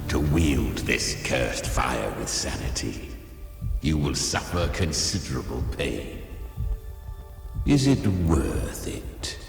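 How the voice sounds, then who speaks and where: An actor playing an ancient, proud, predatory demon beast in a video game, with gravelly growls and grandiose, archaic speech. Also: male voice, extremely low pitch, big pitch swings, slow, heavily acted. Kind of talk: dramatic game voice acting. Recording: cavernous reverb with faint music.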